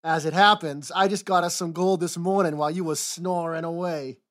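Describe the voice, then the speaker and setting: A woman's voice: on the low side, steady tone, normal speed, pitched below the performer's own voice, close to an everyday voice. Woman voicing a blustering, sour old knight. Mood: grumbling, dismissive. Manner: blustering, rustic folksy grammar. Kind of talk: storybook character voice.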